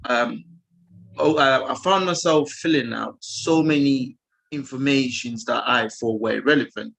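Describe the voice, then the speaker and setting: A man's voice: mid-range voice, measured pace, creaky, fairly bright tone, rough recording. Earnest man asking a long rambling question. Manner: earnest, rambling, many fillers. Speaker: caller. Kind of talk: asking a question on a video call.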